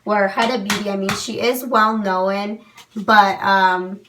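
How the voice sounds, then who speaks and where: A woman's voice: medium pitch, fairly even intonation, slow pace, distant mic, noisy background. Young woman losing her voice. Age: young adult. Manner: chatty, many "um"s, frank opinions. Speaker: beauty vlogger. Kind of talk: casual product review to camera. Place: small indoor room.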